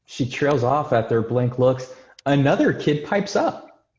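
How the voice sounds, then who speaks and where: A man narrates screenplay action lines for a table read. His voice is medium in pitch. The sound is echoey and muffled, as on a video call. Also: expressive, measured pace, a little mellow. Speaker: stage directions reader.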